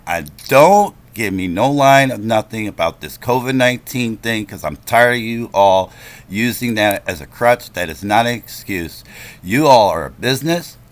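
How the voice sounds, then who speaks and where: A man's voice: lowish voice, expressive, moderate pace. A man making a complaint on a phone call, speaking in an insistent, confrontational, lecturing manner.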